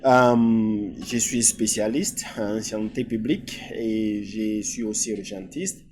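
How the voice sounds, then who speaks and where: Man from DR Congo, middle-aged guest, halting and thoughtful. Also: lowish voice, steady tone, noisy background. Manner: hesitant, many filler sounds. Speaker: guest physician. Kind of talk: interview answers.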